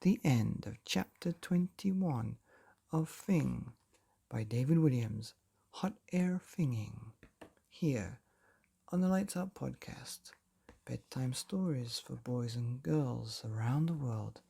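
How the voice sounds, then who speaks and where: Guy doing bedtime story narration, really unhurried, husky voice, dramatic pauses. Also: mid-range voice, lively intonation, dark tone. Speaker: bedtime story podcast host and reader. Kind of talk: scripted children's story narration.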